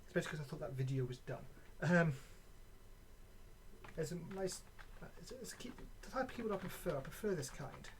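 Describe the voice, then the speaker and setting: Gaming vlogger chatting casually, kinda halting, mid pitch voice, bit of background noise. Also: animated. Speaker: gaming video creator. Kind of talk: casual vlog chat showing items. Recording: clearly noisy background.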